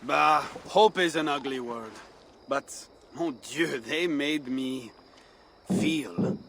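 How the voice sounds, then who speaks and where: An actor playing a cynical French rebel leader in a video game, sardonic and guarded, with a French accent. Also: male voice, a little high, slow pace, fairly bright tone, slight background noise. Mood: wry, reflective. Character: wry, cynical male French rebel leader, secretly softhearted. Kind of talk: dramatic video game acting.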